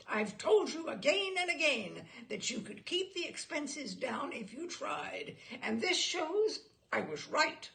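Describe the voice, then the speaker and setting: A woman's voice: high voice, sing-song, takes it slowly, creaky, few pauses, a bit bright, voice pushed up, exaggerated voice. A woman voicing a blustering, exasperated husband who lectures pompously in a story reading. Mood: scolding. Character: blustering, exasperated husband, stern household disciplinarian.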